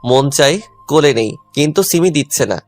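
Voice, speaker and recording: A man's voice: fairly high pitch, expressive, normal speed. A man narrating a first-person love story as a dramatised audio tale. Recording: music under the voice.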